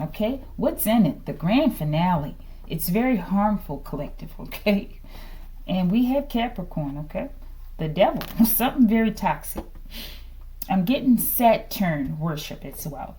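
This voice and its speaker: A woman giving an improvised, informal tarot reading to an online audience, asking rhetorical questions, tagging her phrases with "okay" and dropping into hushed asides. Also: medium pitch. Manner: repeats rhetorical questions and verbal tags like "okay". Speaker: tarot reader, host of the reading.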